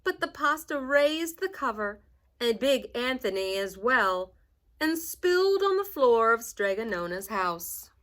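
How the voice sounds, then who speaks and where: A woman's voice: a little high, big pitch swings, unhurried, fairly bright tone. A woman reading a children's storybook aloud like a teacher, with dramatic emphasis and asides to young listeners.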